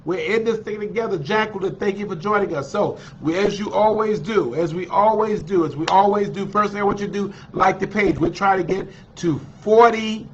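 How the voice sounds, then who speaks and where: A man's voice: sits high, fairly quick, few pauses, slight background noise. A man with a congested, slightly nasal voice, hosting a casual livestream and chatting with his viewers. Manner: chatty and encouraging, with many fillers. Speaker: livestream host. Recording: dull lo-fi livestream audio.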